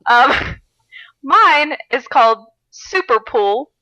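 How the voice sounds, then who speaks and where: A woman's voice: high-pitched, lively intonation, extremely slow, bright, forceful tone, no room sound, rough recording. A woman co-hosting a podcast, giggly and wry as she chats and reads aloud for comedy. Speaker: podcast co-host. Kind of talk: comedic read-aloud of fan fiction with casual chat.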